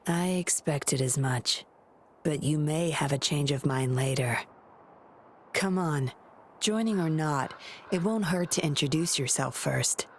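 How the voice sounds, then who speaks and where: A woman playing a confident, commanding young woman in a video game, cool, measured and teasing. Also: low voice, a little hiss or hum.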